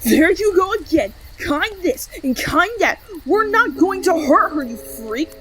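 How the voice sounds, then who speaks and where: A woman's voice: very high-pitched, sing-song, measured pace, fairly bright tone, a little hiss or hum, heavily acted. A woman voicing a fiery, loyal female monster with a blunt, combative manner in a comic dub. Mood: outraged. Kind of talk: amateur comic dub acting.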